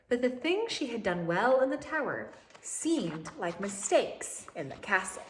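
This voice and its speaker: Woman, children's storyteller, animated intonation, expressive read-aloud, addressing young listeners. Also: medium pitch, a little hiss or hum.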